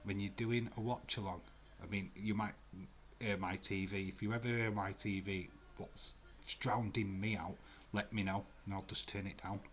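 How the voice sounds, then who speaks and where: A man's voice: fairly low pitch, fairly even intonation, normal speed, a little hiss or hum. A man with a Glasgow accent hosting a live watch-along stream from a room at home, chatting casually with viewers in a rambling way with many fillers. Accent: Glaswegian. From Scotland.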